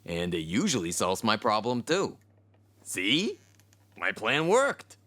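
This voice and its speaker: A man playing an excitable, blunt, goofy animal adventurer in a theatrical comic audio drama. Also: fairly low pitch, slow, heavily acted. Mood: chatty. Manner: theatrical, easily outraged, comic. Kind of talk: audio drama cartoon acting. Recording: music behind the voice.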